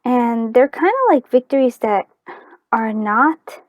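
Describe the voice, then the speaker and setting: A woman with a fairly high voice hosts an informal tarot reading. She talks to her viewers in a chatty way, thinking aloud with hesitant pauses. The sound is close and dry. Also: dry recording, muffled recording. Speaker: tarot card reader and channel host. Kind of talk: casual spoken pick-a-card tarot reading to viewers.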